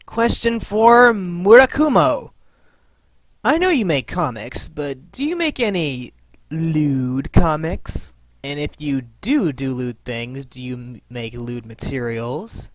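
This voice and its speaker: A woman hosts a scripted comedy Q&A in a lowish voice. She swings her pitch a lot, takes her time, and sounds close and dry. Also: very animated, takes it slowly, dry recording. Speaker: question-and-answer host.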